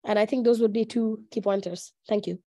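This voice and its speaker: Young female panelist, deliberate and emphatic, dry close sound on a video call. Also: medium pitch, steady tone, warm tone, dry recording. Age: young adult. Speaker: youth delegate panelist. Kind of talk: panel discussion answer.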